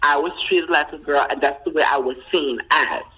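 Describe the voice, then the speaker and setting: A woman in casual conversation as a guest, who repeats her phrases and fills pauses with "you know.". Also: low-pitched, slightly rough, dull audio.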